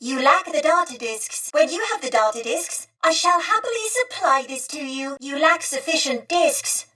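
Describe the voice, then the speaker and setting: A woman's voice: sits high, lively intonation, moderate pace, sharp tone, far from the mic. A woman voicing a prim, courteous, fretful female droid from a bygone era in a video game, with formal, genteel phrasing. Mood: politely apologetic. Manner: formal, polite, genteel old-fashioned phrasing. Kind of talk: video game character dialogue.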